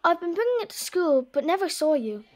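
A headstrong young girl, speaks in a very high, lively voice. She is emphatic and friendly, with big swings of pitch, in the manner of a children's audio drama. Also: fairly quick. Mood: friendly, bossy. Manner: expressive, emphatic.

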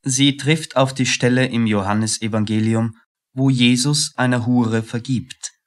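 A man's voice: medium pitch, fairly even intonation, a little slow. A man reading scripted narration as the narrator of a radio play.

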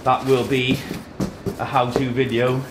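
A man's voice: medium pitch, flat delivery, measured pace. Man presenting an unboxing in an echoey room. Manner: talks while handling boxes and products, in short phrases. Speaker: host of a tech unboxing video. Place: indoor office meeting room. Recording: echoey room, background noise and handling clatter.

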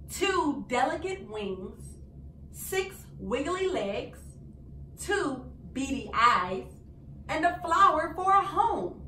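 A young woman reading a picture book aloud to young children in an animated, sing-song way with deliberate phrasing. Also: sits high. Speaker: children's storyteller. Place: small indoor room. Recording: roomy, distant mic, faint noise.

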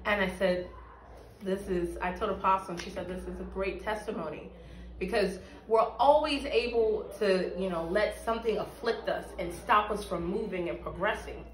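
A woman's voice: medium pitch, moderate pace. A woman giving a spoken church testimony in an interview, earnest and emphatic, picked up a few feet from the camera in a small room. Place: small indoor room with bare walls, seated. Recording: echoey room, mic at a distance.

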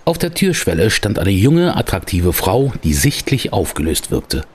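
A man's voice: low voice, very animated, moderate pace, a little hiss or hum. A man reading scripted narration as the narrator of an audio drama.

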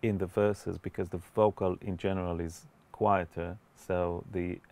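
A man in his forties giving an explanatory tutorial as a music producer, in a measured, hesitant way. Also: low-pitched, level tone, darkish tone. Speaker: music producer and mixing instructor. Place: indoor recording studio.

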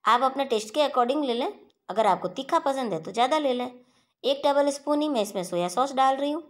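A woman hosting a home cooking channel, talking viewers through a recipe step by step in a steady instructional voice-over. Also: high voice, a little fast.

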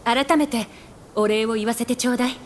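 A woman's voice: on the high side, steady tone, fairly quick, slight background noise, close to an everyday voice. A woman playing a polite, earnest young physician in a Japanese video game, courteous and composed. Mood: grateful.

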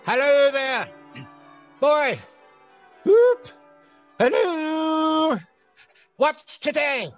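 An actor playing an old miser turned joyful and generous, exuberant and exclamatory, in a theatrical radio play style. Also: male voice, extremely high pitch, expressive, drawn-out words, long pauses, faint music behind, full character voice. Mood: giddy, overjoyed. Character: old miser reformed into giddy, childlike, overjoyed generosity.

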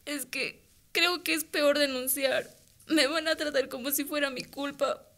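A woman's voice: high-pitched, steady tone, unhurried, pushed and bright, exaggerated voice. A woman acting a frightened, hesitant teenage girl who sounds pleading in a radio drama. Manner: pleading, unsure.

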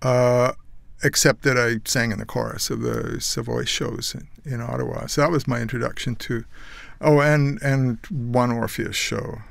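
Gravelly older man, wry and rambling. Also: mid-range voice, fairly even intonation, normal speed, few pauses, no echo. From Netherlands, raised in Canada. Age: 60s. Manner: rambling, restarts, wry asides. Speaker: guest actor and painter. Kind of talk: casual interview. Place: small studio with purple curtain. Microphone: boom studio mic.

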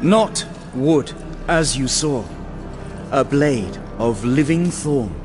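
An actor playing a proud, stern elf prince in an audio drama, speaking with formal, commanding gravity. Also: male voice, medium pitch, lively intonation, takes it slowly. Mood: solemn, calm. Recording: echoing cavern reverb with music.

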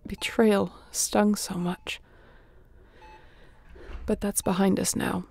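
Woman acting a gravelly, tender spaceship captain. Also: medium pitch, level tone, slow pace, heavily acted. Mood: sober, weary. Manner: soft, sighing, emotionally open. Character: battle-worn female spaceship captain, tender and wry. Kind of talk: intimate audio roleplay acting.

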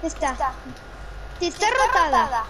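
A woman's voice: very high voice, big pitch swings, a little slow, a loud crowd. A woman with a Spain Spanish accent chatting casually with a teammate while playing a video game. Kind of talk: casual gaming chat with a teammate. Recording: very noisy game voice chat.

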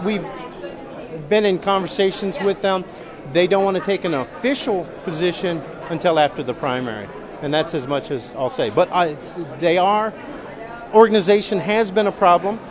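A man in his forties, a political candidate, speaks with a fairly high voice, earnest and halting, stumbling over repeated words. He is interviewed in a large indoor convention hall. A busy crowd murmurs all around him. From Missouri. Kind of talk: on-the-spot interview. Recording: busy crowd noise behind. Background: crowd chatter.

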